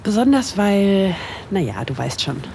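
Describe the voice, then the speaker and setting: Audio drama support: playful, teasing young female friend, medium pitch, easy conversational delivery. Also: big pitch swings, a little slow, creaky, darkish tone, a little hiss or hum. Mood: casual, coaxing. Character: teasing, cheeky young woman, heroine's best friend.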